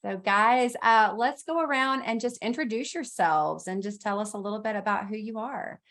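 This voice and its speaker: A woman's voice: mid-range voice, moderate pace, fairly bright tone. Chatty woman hosting a video panel. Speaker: podcast host. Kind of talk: casual panel discussion. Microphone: video call.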